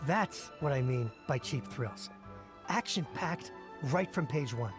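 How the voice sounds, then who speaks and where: A man's voice: mid-range voice, animated, takes it slowly, over music. A man voicing a candid, casual fan in an anime game, frank and explanatory. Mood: candid, enthusiastic. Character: candid, casual male reader who loves cheap thrills.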